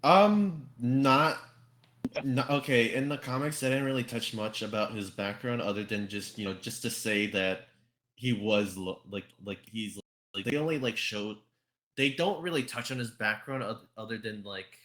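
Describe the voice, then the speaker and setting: A man explaining things in casual conversation, halting and often repeating his phrases as he goes. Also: lowish voice, fairly bright tone. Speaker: podcast guest.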